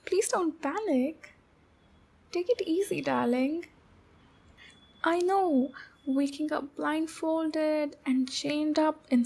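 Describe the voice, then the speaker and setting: A woman's voice: sits high, gravelly, darkish tone, full character voice. A woman voicing a shy, anxious, clingy demon captor in a roleplay ASMR, speaking in a hesitant, pleading and soothing way. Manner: hesitant, halting, pleading, soothing with pet names. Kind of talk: roleplay ASMR, intimate acted monologue.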